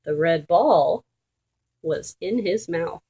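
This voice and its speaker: A woman reading a children's storybook aloud in an expressive, deliberate and emphatic way for young listeners. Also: on the low side, slightly rough. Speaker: children's book reader.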